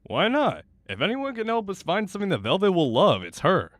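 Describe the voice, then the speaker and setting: A man's voice: sits high, wildly swooping pitch, a little fast, fairly bright tone, no echo, clean studio sound, full character voice. A man voicing a cocky, sarcastic blind teenage boy in a fan audio drama.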